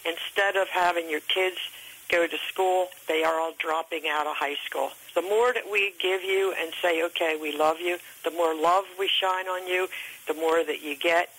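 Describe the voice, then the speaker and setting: A woman's voice: on the low side, steady tone, moderate pace, a bit bright, slightly rough. An aggrieved, accusatory woman leaving a monologue on a voicemail over a telephone line.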